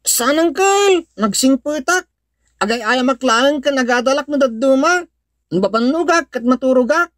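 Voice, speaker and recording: A woman's voice: high voice, expressive, moderate pace, dry recording, studio quality. A woman with an Ilocano accent playing an eager, talkative young child in a radio-style drama. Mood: eager, innocent.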